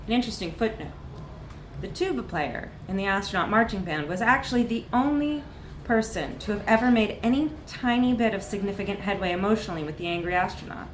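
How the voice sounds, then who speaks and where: A woman reads a comic short story at a live literary event in an echoey room with faint background noise. Her delivery is expressive, leaning on words for dramatic emphasis. Her voice sits at an ordinary female pitch.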